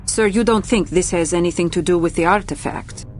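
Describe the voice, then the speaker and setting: A woman's voice: fairly low pitch, brisk, slight background noise, natural voice. A woman playing a dutiful but questioning military subordinate in a video game cutscene. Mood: earnest, concerned.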